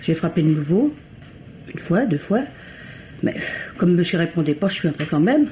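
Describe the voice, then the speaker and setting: A woman's voice: on the low side, big pitch swings, moderate pace, dark tone. A woman playing a nervous, deferential household maid, hesitant and simply spoken, in old French radio mystery acting. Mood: anxious recollection. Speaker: radio actor. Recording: muffled, noisy old radio recording.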